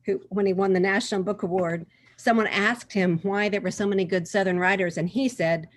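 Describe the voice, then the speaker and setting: A woman's voice: mid-range voice, fairly even intonation, a little fast. A woman from Oklahoma taking part in a book discussion over a video call, thinking aloud with restarts and "um" fillers, and reading a passage aloud. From Oklahoma, USA. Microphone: computer mic on a video call.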